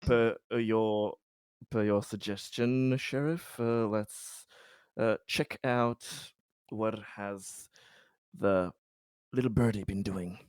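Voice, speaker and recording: A man's voice: lowish voice, steady tone, slow pace, a little mellow, smooth, no room sound. A man playing a tabletop game, chatting casually and often searching for his words. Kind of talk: casual chat during a roleplaying game.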